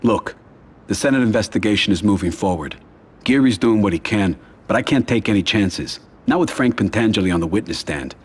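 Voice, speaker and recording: An actor playing a calm, cold mafia boss in a game cutscene, speaking in a measured, controlled way. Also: male voice. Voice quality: low, quiet and husky. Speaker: crime family head. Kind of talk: video game cutscene acting.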